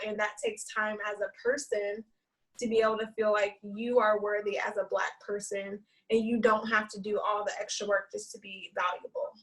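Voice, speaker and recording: A woman with a fairly high voice, sitting a little back from her microphone. A therapist and yoga teacher, she speaks candidly and from the heart, returning to her key points for emphasis. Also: recorded from a distance, rough recording. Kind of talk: panel discussion.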